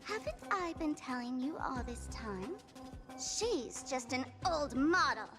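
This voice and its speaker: A woman's voice: high voice, very animated, takes it slowly, exaggerated voice. A woman voicing a theatrical, mischievous young masked trickster in an anime-style video game, playful and taunting. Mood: grandiose. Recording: game music clearly behind voice. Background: video game music.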